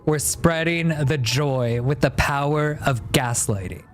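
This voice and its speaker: A man livestreaming a game, chatting casually and reading dialogue aloud in a playful, expressive, joking way. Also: fairly high pitch, takes it slowly, soft background music.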